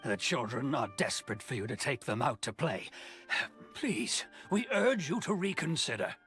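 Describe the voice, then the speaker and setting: A man's voice: medium pitch, animated, moderate pace, a bit bright, soft background music, lightly acted. A man voicing a concerned, earnest villager in a video game, urging in a pleading way. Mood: worried, pleading.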